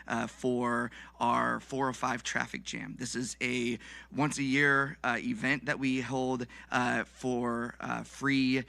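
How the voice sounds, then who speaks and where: A man with a slightly raspy voice, worn from recent yelling, giving public comment as a nonprofit founder. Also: mid-range voice, no echo. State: voice recovering from yelling. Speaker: nonprofit dance organization founder. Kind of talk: public comment at a council meeting.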